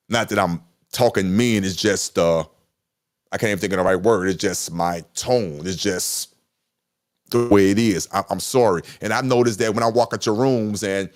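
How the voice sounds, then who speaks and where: A middle-aged man with a deep voice hosting a podcast, talking casually with passion and emphatic repetition. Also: normal speed. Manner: passionate, emphatic, repeats himself. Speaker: podcast host. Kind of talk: casual monologue.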